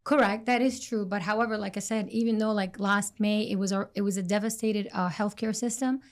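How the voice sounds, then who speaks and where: Woman nurse guest, gravelly mid-pitched voice, earnest and halting. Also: steady tone. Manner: earnest, halting, restarts sentences. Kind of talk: interview answers.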